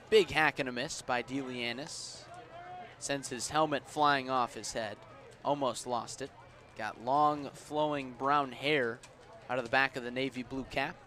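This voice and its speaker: A man doing live radio play-by-play of baseball as the announcer, with a measured delivery that rises on the action. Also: on the high side, fairly bright tone. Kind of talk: live radio sports commentary. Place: outdoors at a baseball stadium. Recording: outdoor ballpark ambience noise behind.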